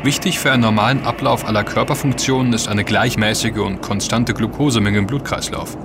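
A man's voice: mid-range voice, steady tone, a little fast, music in the background, natural voice. An actor playing an earnest, knowledgeable man who explains and warns emphatically in a scripted audio drama. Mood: explaining. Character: earnest, knowledgeable man warning his friends.

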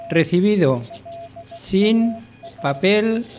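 A man playing a brisk telegraph operator. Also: high-pitched, animated, soft background music, exaggerated voice. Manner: brisk, formal, radio procedure.

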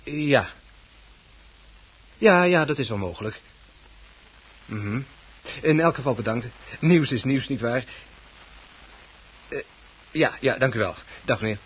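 An actor playing a businesslike reporter on the telephone in a radio drama, brisk, polite and noncommittal. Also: male voice, mid-range voice, expressive, breathy edge. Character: businesslike male newspaper reporter taking a phone call. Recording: dull, muffled old radio play recording.

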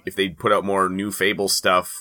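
Very deep-voiced man with a bright edge. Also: completely flat delivery, moderate pace. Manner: rambling, hedging, self-interrupting. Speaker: comics news podcast co-host. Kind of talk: casual panel chat.

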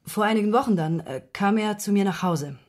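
An actress in a radio play playing a distressed woman who confides intimate matters in a halting, emotionally wounded way. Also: mid-range voice, darkish tone, natural voice. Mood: uneasy, reflective. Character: distressed woman confessing her secret love affair to investigator.

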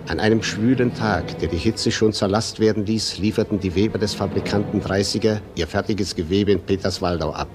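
A man narrating a radio play in a solemn, measured, literary style. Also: on the low side. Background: music.

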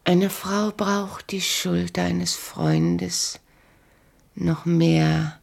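An actress playing a lonely, seductive, shrewd queen who longs for her husband, breathy and coquettish, in a German radio play. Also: on the low side, very animated, extremely slow, darkish tone, exaggerated voice. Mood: wistful, seductive. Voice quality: breathy, creaky. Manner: languid, coquettish.

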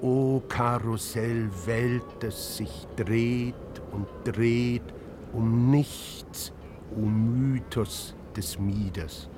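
A man declaiming a poetic text for a radio drama, very deliberately. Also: mid-range voice, steady tone. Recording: music behind the voice. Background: music.

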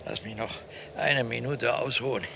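An actor playing a weary grandfather in a radio drama, with a creaky voice. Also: medium pitch, steady tone, normal speed. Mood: weary, uneasy. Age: older. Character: weary grandfather, short of breath, resting on a walk. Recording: noisy outdoor radio play scene.

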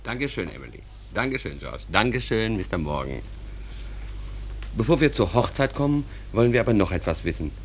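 A man's voice: fairly low pitch, sing-song, fairly quick, slight background noise. A man playing a courteous stage manager who narrates and addresses the audience in a German radio play.

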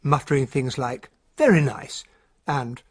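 An actor playing a genteel English gentleman, a detective's companion, narrating a radio drama in a well-spoken, measured way. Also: mid-range voice, slightly rough, dry recording. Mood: genial, wry.

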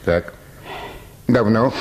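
An actor playing a brusque, self-important provincial medical orderly in a radio play, giving curt orders with thoughtful hemming pauses. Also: male voice, on the low side. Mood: probing. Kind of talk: Russian literary radio play acting.

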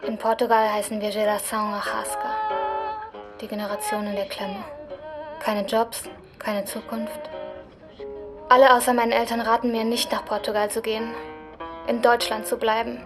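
A woman's voice: on the high side, moderate pace, long pauses, over music, lightly acted. An actress playing a bold, scheming young woman in a dramatic, provocative radio drama performance. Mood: bitter. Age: young adult. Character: bold, bitter nineteen-year-old woman, scheming blackmailer. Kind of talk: German radio drama acting.

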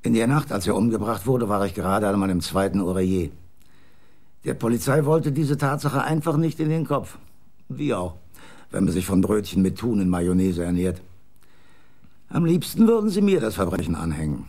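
An actor playing a bitter, eccentric writer in a radio drama, talking in a sardonic, theatrical way. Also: male voice, on the low side, expressive, moderate pace, a little mellow. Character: bitter, eccentric male writer cooking at night.

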